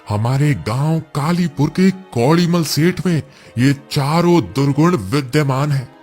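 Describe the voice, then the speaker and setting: A man's voice: a little high, darkish tone. A man voicing the soul of a deferential village priest in a cartoon moral story, respectful and earnest.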